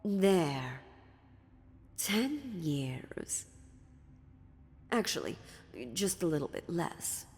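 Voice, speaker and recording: A woman playing an ancient, sly and dominant female demon in a dark fantasy audio roleplay, taunting theatrically with deliberate pauses. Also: on the low side, expressive, slight background noise. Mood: calm, menacing. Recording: long reverb, music underneath.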